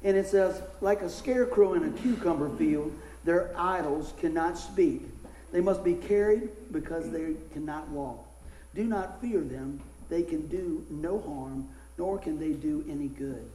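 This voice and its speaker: A middle-aged man, a pastor, preaching a casual sermon with a hoarse voice that he is losing, folksy and animated in his stories. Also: unhurried, roomy sound, slight background noise, rough recording. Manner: folksy, animated storytelling with rising pitch in anecdotes. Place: church.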